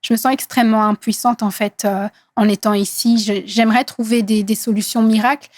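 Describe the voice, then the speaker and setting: A woman in her thirties from Congo whose first language is Swahili, speaking earnestly in an interview with many hesitant pauses. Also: fairly high pitch, flat delivery, no room sound. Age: 30s. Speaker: guest activist. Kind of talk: interview conversation.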